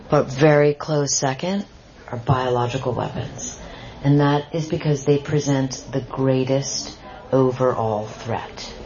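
A woman's voice: very deep voice, darkish tone. A woman author and journalist speaking at a bookstore event, earnest and reflective, often restarting her sentences. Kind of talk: book talk and audience Q&A. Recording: muffled event recording.

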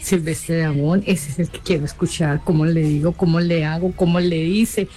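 A woman's voice: low voice, fairly even intonation, normal speed, dark tone, soft background music. A woman leaving an enthusiastic, repetitive voice note for a radio show. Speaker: caller.

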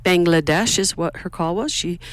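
A woman's voice: on the low side, moderate pace, slight background noise. A woman co-hosting a local radio show, chatting casually in a playful, teasing and somewhat scattered way from a studio.

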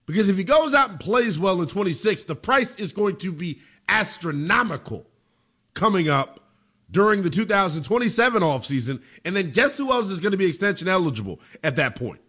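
An American man hosts a sports show with a high voice that swings widely in pitch. He delivers football opinion emphatically, repeating phrases to drive points home. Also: very animated, brisk, sharp tone, husky.

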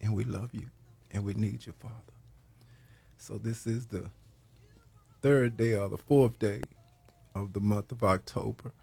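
A hoarse man leading a prayer and preaching on a program, slow and halting, with many pauses. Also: fairly low pitch, fairly even intonation. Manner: grows louder and more emphatic while preaching. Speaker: pastor hosting a prayer program. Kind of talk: prayer and sermon talk.

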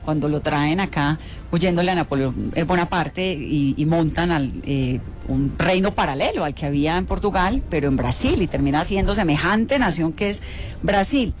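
A woman's voice: sits low, animated, normal speed, soft background music. A woman, interviewing guests on the radio in an effusive, gushing way.

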